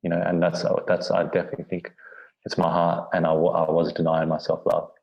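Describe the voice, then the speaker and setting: A man speaking as a podcast guest, talking candidly and haltingly, often restarting his words. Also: sits low, monotone, gravelly, lo-fi sound. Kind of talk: candid personal conversation.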